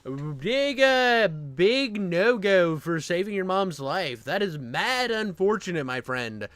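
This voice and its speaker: A man with a higher-pitched, very lively voice gives casual reaction commentary. He is brash, slangy and jokingly exasperated, and the sound is close and dry. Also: slow, dry recording. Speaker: reaction host.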